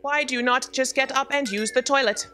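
A woman playing a literal-minded self-aware garbage spaceship, measured and matter-of-fact in a staged sci-fi comedy drama. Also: high voice, steady tone, bright, forceful tone. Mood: calm, unyielding. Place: theatre stage, live performance. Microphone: stand microphone.

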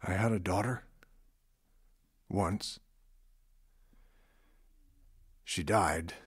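A man playing a gruff, irritable estate manager with a blunt, grumbling manner in an audio drama. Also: low-pitched, slow pace, no room sound, studio quality, exaggerated voice. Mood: subdued, grieving.

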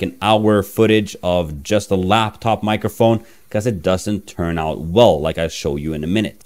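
Chatty Norwegian man with a low voice. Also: a little mellow. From Norway. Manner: chatty, playful, self-mocking. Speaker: tech reviewer. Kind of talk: casual software demo. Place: small room, indoors.

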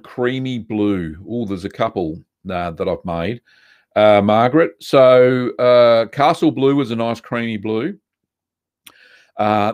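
An older man with an Australian accent, from South Australia, hosting a casual live question-and-answer stream from a home studio, chatty and relaxed with plenty of ums and uhs. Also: on the low side. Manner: relaxed, chatty, many ums and uhs, reads chat aloud. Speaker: livestream host answering viewer questions. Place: home studio room with bookshelves, indoors.

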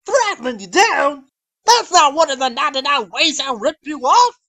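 A man's voice: extremely high pitch, animated, normal speed, bright, forceful tone, dry recording, heavily acted. A man voicing a sly, slick cartoon shopkeeper with a folksy, huckstering manner. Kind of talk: cartoon fandub acting.

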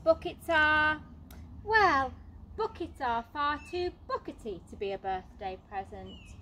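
Woman as a patient storybook mum, slightly high-voiced. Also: animated, slow, pushed and bright, slight background noise, exaggerated voice. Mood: reasoning. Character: amused, indulgent mother of a young boy.

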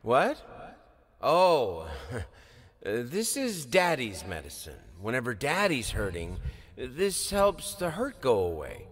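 Horror game role: troubled father, hard drinker, slow delivery, wildly swinging pitch, apologetic yet volatile. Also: wildly swooping pitch. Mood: slurred tenderness. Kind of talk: dramatic horror game acting. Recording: long reverb, noise behind.

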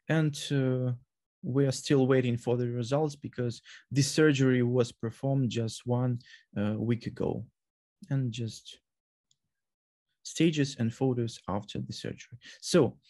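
A man presents surgical results over a video call. He speaks haltingly, with many fillers and restarts. His voice is dark and mellow, at a middle pitch. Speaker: surgeon presenting at a webinar. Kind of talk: academic presentation.

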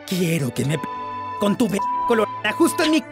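A performer with a Latin American accent playing a lovesick, emotional demon prince and unhappy husband in an animated fan dub. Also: mid-range voice, brisk, creaky. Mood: seductive. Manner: theatrical, emotional, swinging from purring to outraged. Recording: loud music behind the voice.

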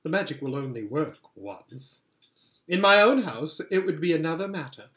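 Man playing coaxing witch queen with animated pitch. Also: normal speed. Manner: sweet-talking, imperious. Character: coaxing, scheming regal witch queen, grown woman.

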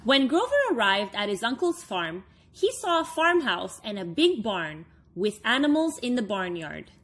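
A woman in her thirties reading a children's storybook aloud, expressively and with deliberate phrasing for young listeners. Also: a little high, very animated, fairly bright tone, slightly rough. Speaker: story time reader for children.

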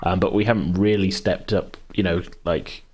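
A man's voice: sits low, steady tone, normal speed, a little mellow, a little hiss or hum. A man talking casually as host and game master, in a rambling, hesitant, self-correcting way. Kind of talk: casual chat about a tabletop game.

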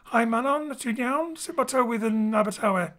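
A man's voice: very high voice, normal speed, fairly bright tone. Guy, director doing an informal host intro for an audio show, home studio mic. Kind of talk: informal spoken introduction to a live-style show. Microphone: home studio microphone over internet link.

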